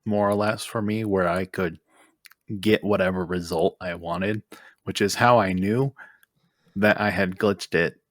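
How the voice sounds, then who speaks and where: A man's voice: low voice, fairly even intonation, unhurried, dry recording, clean studio sound. A man chatting casually about games as a podcast co-host.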